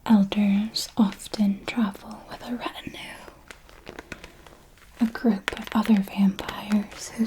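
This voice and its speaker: Whispering, slow-paced vampire mentor played by a woman. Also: medium pitch, low-quality recording. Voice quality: breathy, hushed whisper. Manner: slow, deliberate, refined, instructive. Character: elegant, knowing female vampire mentor, patient and refined. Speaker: ASMR roleplay performer. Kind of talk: whispered ASMR roleplay, scripted monologue.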